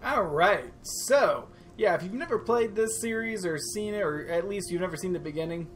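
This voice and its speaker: A man's voice: high voice, expressive, moderate pace, fairly bright tone. A man hosting a game playthrough with casual, rambling commentary, informal, joking and self-deprecating. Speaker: host of a game playthrough channel. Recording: faint game music behind. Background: game music.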